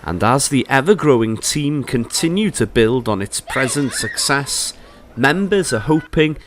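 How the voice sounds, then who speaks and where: A man's voice: mid-range voice, lively intonation, a little slow, background noise. A man narrating a scripted local news feature as the reporter.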